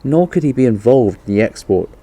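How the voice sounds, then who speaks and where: Guy doing a worried earnest lady in a kids story, raised pitch, pleading. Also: animated, fairly quick, mellow. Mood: earnest, admiring. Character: earnest, distressed woman defending the man she loves. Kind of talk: children's storybook character reading.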